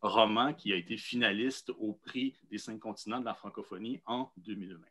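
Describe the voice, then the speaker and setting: A man with a rather low voice and a Québécois accent moderates a literary interview. He speaks in measured, articulate phrases, setting out his points and questions as a host. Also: bright, forceful tone, slightly rough.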